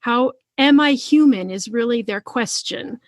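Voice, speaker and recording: A woman podcast host talking conversationally about books in an earnest, expressive manner. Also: a little high, unhurried, no echo, clean studio sound. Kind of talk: conversational book discussion.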